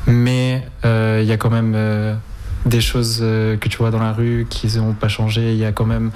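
Even-toned young man, hesitant and plain-spoken. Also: lowish voice, moderate pace, slight background noise. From Ukraine, of Spanish nationality. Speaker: interview guest, volunteer and documentary maker. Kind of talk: informal spoken interview answers.

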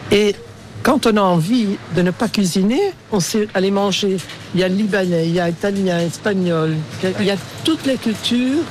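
A middle-aged man whose first language is Dutch, speaking French with a Belgian Dutch accent in a lively, emphatic way, with hesitations, in a street interview. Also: high-pitched, moderate pace, a little hiss or hum.

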